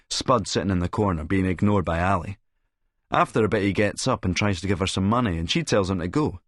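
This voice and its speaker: A Scottish man reads a first-person story with a sardonic edge. His voice sits fairly low and is recorded close and dry. The delivery is dramatic and sneering. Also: dry recording. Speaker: audiobook narrator and actor.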